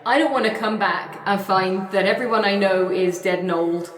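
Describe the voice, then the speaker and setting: A woman's voice: medium pitch, normal speed. A woman plays a tabletop roleplaying game in casual, improvised talk. She sounds away from the microphone, in a very roomy space with a long reverberant tail. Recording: echoey reverberant room, distant from mic.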